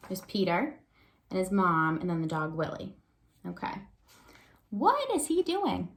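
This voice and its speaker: A woman's voice: lowish voice, huge pitch swings, unhurried. A woman, an elementary teacher giving a read-aloud lesson to young children and thinking aloud with prompting questions.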